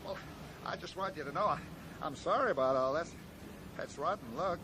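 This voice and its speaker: A man acting as a kindly small-town American sheriff in middle age, with a slightly high voice. He talks in a folksy, halting, apologetic way. It is a 1980s radio play with clear noise in the recording. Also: close to an everyday voice. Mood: apologetic, matter-of-fact. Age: middle-aged. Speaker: actor.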